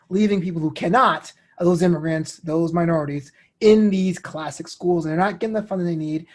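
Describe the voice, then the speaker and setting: A man in his thirties talking casually as an interview guest, telling animated stories with slang and acted-out quotes. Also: high-pitched, slightly rough. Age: 30s. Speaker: interview guest, DJ, comedian and host.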